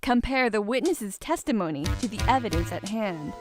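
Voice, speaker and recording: A woman's voice: mid-range voice, expressive, normal speed, natural voice. A woman playing a confident senior lawyer who firmly coaches a rookie in a courtroom game fan dub. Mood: firm coaching. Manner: assured, coaching, firm. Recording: loud music under the voice.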